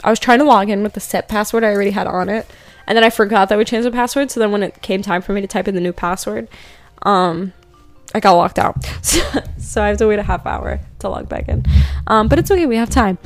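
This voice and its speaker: A young woman hosting a casual spiritual chat, rambling with many fillers and slowing down to read out affirmations. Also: mid-range voice, faint music behind. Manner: rambling, filler-heavy, laughs often, slows down for affirmations. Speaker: podcast host doing card readings. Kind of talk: casual spiritual chat with tarot-style readings.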